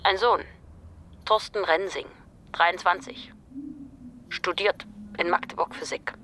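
A woman's voice: on the low side, slow pace, pushed and bright, slight background noise. An actress in a German radio drama playing a brusque, sardonic policewoman who talks in a curt, dry, naturalistic way. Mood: matter-of-fact. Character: brusque, sardonic policewoman, sceptical and quick to bite.

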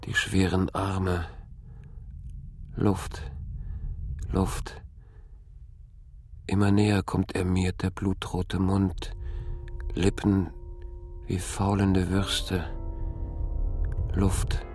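An actor playing a haunted man tormented by dreams and memories, in a halting, brooding, intimate radio drama monologue. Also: deep voice, completely flat delivery, darkish tone, faint music behind, exaggerated voice. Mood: anguished, oppressed. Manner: halting, brooding, at times whispering.

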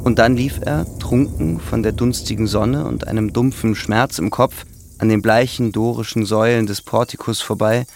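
A man reading literary narration as the narrator of a drama, with ambient sound behind him. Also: mid-range voice. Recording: clear background ambience under voice.

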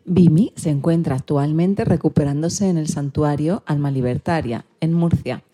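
A woman's voice: low voice, normal speed, warm tone, breathy edge. A woman hosting a radio programme and introducing its sections from a script.

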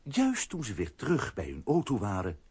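A man with a husky voice playing the storytelling narrator of a children's audio drama. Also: fairly low pitch, huge pitch swings, moderate pace, a little mellow, slight background noise.

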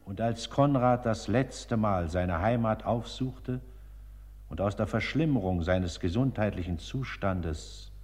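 An actor as a solemn, devotional narrator in a radio play, reading scripted prose with reverence. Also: male voice, fairly low pitch, slow, darkish tone, soft background music. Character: solemn, devotional male storyteller. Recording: long hall-like reverb.

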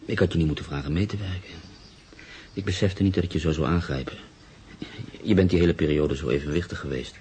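A man's voice: deep voice, fast, mellow, a little hiss or hum, natural voice. An actor playing a proud, troubled middle-aged writer in a radio drama, formal and hesitant, brooding as he narrates. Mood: tender. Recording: radio play with music underneath.

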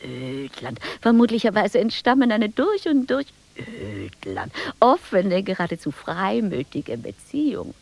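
An actress playing an indignant, dismissive dreaming housewife in a German radio play, emphatic and theatrical, dropping into hushed asides. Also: female voice, mid-range voice, huge pitch swings, a little slow, creaky, few pauses, exaggerated voice.

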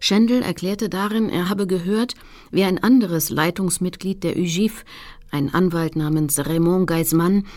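An actress playing a determined female activist who recounts her campaign in sober, measured radio-play narration. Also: lowish voice, a little mellow, lightly acted. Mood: sober recounting. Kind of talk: scripted first-person narration.

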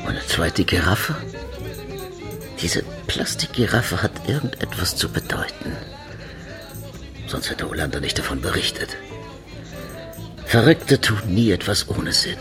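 A man's voice: deep voice, big pitch swings, measured pace, pauses often, over music. An actor playing a blunt, stubborn police detective in a German radio crime drama, terse and dry in his probing. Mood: musing. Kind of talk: German radio play acting.

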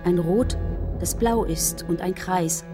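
A woman reciting poetry deliberately, phrase by phrase, for a radio drama. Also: on the low side. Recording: loud music behind the voice. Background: loud music.

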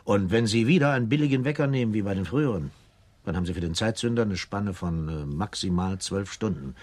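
Radio drama: a methodical detective, husky low voice with lively pitch, halting questions. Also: male voice. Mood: businesslike. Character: methodical, hesitant-spoken male police investigator. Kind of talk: German radio play acting.

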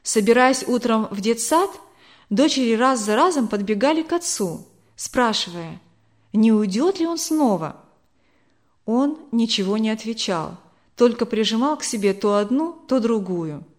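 A woman's voice: fairly high pitch, lively intonation, measured pace. A woman narrating a novel as a scripted audiobook.

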